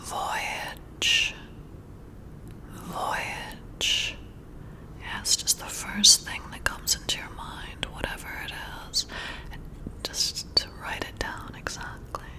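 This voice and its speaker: A woman performs a whispered ASMR role-play, right up at the listener's ear. Her voice is a breathy, airy whisper, and she speaks extremely slowly. She guides the listener with gentle, soothing, deliberate instructions. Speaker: ASMR creator role-playing a boss giving a personality test. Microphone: close-up ear-to-ear microphone.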